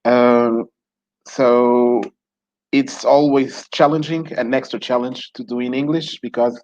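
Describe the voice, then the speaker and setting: A man's voice: mid-range voice, fairly even intonation, takes it slowly, a little mellow, highs cut off. Man hosting a talk show. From Mozambique. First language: Portuguese. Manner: hesitant, many fillers and restarts. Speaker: show host. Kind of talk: casual online talk show.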